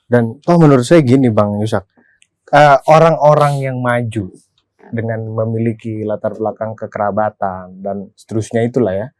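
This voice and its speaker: A man's voice: fairly low pitch, moderate pace, a little mellow, no echo. A man hosting a political discussion, talking informally, making his argument and checking for agreement. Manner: informal, argues a point then checks agreement. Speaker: talk show host.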